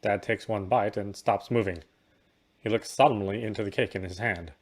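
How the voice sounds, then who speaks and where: Low-voiced man reading a visual novel aloud. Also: fairly even intonation, moderate pace. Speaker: game player reading the story aloud. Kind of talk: read-aloud visual novel narration and dialogue. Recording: music playing behind the voice. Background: game music.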